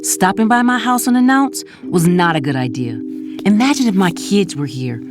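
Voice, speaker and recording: An actress plays a guarded middle-aged wife and mother in an audio drama. The woman is emphatic and exasperated, her pitch moving in lively swings. Clear music plays under her lines. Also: moderate pace, close to an everyday voice. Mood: indignant.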